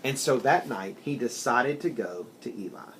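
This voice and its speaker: A man reading a children's story aloud in an expressive, deliberate storytelling manner. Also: mid-range voice, slight background noise. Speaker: story reader. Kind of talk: scripted children's storybook narration.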